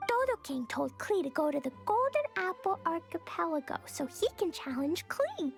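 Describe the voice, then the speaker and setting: A woman's voice: extremely high pitch, big pitch swings, normal speed, creaky, full character voice. A woman voicing a sweet, innocent, earnest little girl in an anime-style game, with a childlike way of talking. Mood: pleading, sweet. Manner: childlike, earnest, refers to self by name. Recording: music behind the voice.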